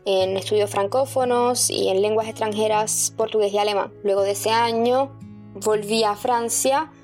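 A woman's voice: mid-range voice, fairly even intonation, moderate pace, a little mellow, soft background music. A woman in her twenties from Puerto Rico with a Puerto Rican accent, chattily telling her own story with small restarts. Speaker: guest sharing her experience. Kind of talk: casual personal storytelling.

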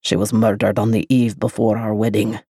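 Woman voicing a dark, possessive male lover, low slow murmur, mellow, dry, storybook audiobook. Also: flat delivery, husky, no echo, full character voice. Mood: grave, tender. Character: brooding possessive man, dark, ageless lover. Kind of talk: audiobook character voice.

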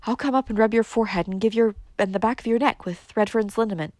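A woman's voice: a little high, fast, darkish tone, no room sound, close to an everyday voice. A woman voicing a fussy, meddling older female cousin in a storybook, nagging and easily alarmed. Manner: nagging, exclamatory.

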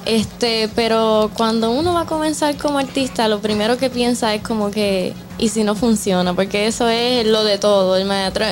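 A woman's voice: fairly high pitch, moderate pace, few pauses, soft background music. A young woman from Puerto Rico with a Puerto Rican accent, chatting informally as an interviewed artist and acting out little quotes as she tells stories. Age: young adult. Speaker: music artist being interviewed. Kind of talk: casual conversational interview.

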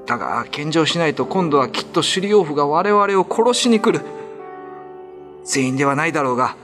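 A troubled young village man, played by a man speaks in strained, anguished tones in a radio drama. His pitch swings widely. Music plays behind him. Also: animated, a little fast, lightly acted.

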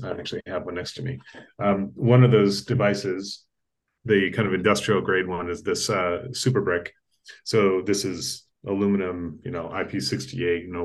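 A man from East Africa, a guest telling his story in a relaxed interview, measured with frequent ums and restarts over a video call. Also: low-pitched. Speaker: guest, tech entrepreneur.

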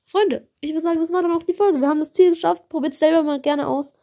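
Excitable high-voiced gamer woman, slightly hoarse. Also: fast. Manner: excitable, chatty, swears and apologizes while playing. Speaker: gaming video creator. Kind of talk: casual gameplay commentary.

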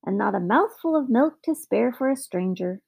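A woman's voice: on the high side, lively intonation, moderate pace, darkish tone, full character voice. A woman voicing a tiny, wistful green magic man in a storybook, with a thin, sing-song, pleading call. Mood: thirsty, disappointed. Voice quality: thin, clear, bell-like calling.

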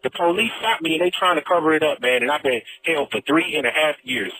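A man's voice: fairly high pitch, fast talker, sharp tone, slightly rough. An American man making an emphatic, repetitive, impassioned plea over a prison phone line. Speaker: prisoner telling his story. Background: music.

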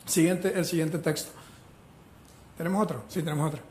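A man from Venezuela preaching a sermon as pastor, animated and joking as he retells the story. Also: on the high side, fairly quick, roomy sound, slight background noise.

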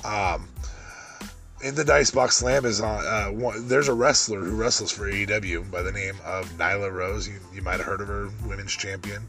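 A man with a deep voice hosting a solo podcast, rambling through a wrestling review with many ums and restarts. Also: moderate pace, fairly bright tone, faint music behind. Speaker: podcast host.

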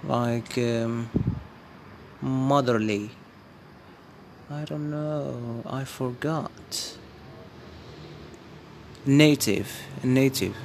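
Man, Amazigh speaker, very slow, halting speech full of restarts, faint hum of air conditioning behind. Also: mid-range voice, gravelly. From Morocco. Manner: hesitant, searching for words, many restarts. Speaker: vlogger. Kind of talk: casual spoken monologue to viewers.

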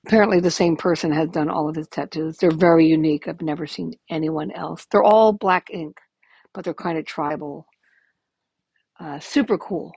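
A woman in her fifties speaks in a rough, gravelly, hoarse voice that sits a little low. She rambles candidly through a casual podcast update, dotted with ums. The sound is dry and close. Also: steady tone, normal speed, a little mellow, no echo. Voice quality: raspy, gravelly voice. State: hoarse from illness. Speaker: podcast host.

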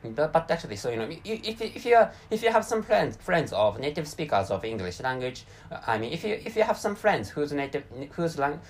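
A man's voice: fairly high pitch, big pitch swings, a little fast, hardly any pauses. A man with a Japanese accent, a Japanese native speaker, hosting a solo talk show in English with many restarts and repeated words. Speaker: podcast host.

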